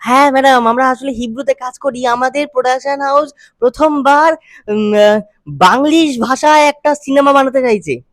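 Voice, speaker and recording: A man's voice: extremely high pitch, normal speed, fairly bright tone, no echo, studio quality. A man voicing an over-polite, bumbling production-house assistant on a prank call, rambling and hesitant. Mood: eager, polite. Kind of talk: prank phone call improvisation.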